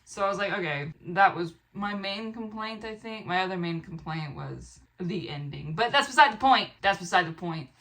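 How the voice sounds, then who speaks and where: A young American woman chatting casually to camera as a book vlogger, in an expressive, rambling way, often interrupting herself. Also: mid-range voice, normal speed, hardly any pauses. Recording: clear background room noise.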